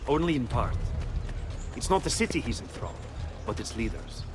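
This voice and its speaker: Actor as a shrewd, measured Italian conspirator. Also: male voice, mid-range voice, sing-song, background noise, lightly acted. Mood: grave. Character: shrewd, worldly male conspirator ally in Renaissance Italy. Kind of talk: video game cutscene acting.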